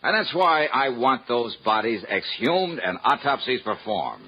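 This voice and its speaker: Tough old-radio investigator, pushy questions, bit husky, muffled old broadcast sound. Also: male voice, medium pitch, big pitch swings, measured pace, heavily acted. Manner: blunt, needling interrogator. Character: hard-nosed male insurance investigator. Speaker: lead actor in radio drama. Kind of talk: 1950s radio drama acting.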